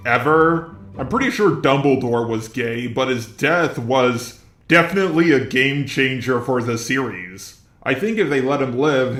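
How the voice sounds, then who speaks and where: A man's voice: medium pitch, fairly bright tone, echoey room. A man delivering scripted video commentary as a narrator.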